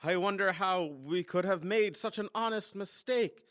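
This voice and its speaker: An actor playing a flustered, two-faced, scheming boss in a radio comedy, exclaiming theatrically. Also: male voice, sits high, normal speed, a bit bright. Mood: anxious, feigned politeness. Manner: theatrical, exclaiming, stammering cover-ups.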